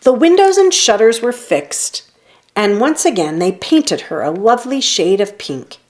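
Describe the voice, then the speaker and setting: Woman reading a storybook with lively pitch. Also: a little slow. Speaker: storybook reader. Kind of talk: children's read-aloud narration.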